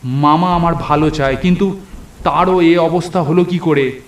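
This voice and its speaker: A man's voice: on the high side, normal speed, pitched above the performer's own voice, natural voice. A man voicing a terrified young nephew in a horror cartoon, stammering and frantic. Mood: panicked. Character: terrified young nephew trapped among ghouls. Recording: echoey voice over music.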